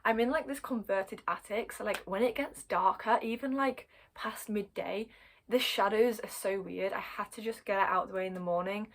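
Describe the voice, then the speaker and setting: A young British woman with a croaky voice, chatting excitedly to camera as a book vlogger in a converted attic, giggling and correcting herself as she rambles. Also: medium pitch, moderate pace. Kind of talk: casual chat to camera.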